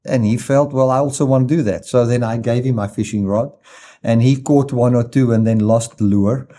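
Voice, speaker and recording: A man's voice: medium pitch, fairly even intonation, moderate pace, warm tone. A middle-aged man with a South African accent, preaching informally in a reflective, conversational way with rhetorical questions and personal stories. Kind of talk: informal sermon with personal anecdotes.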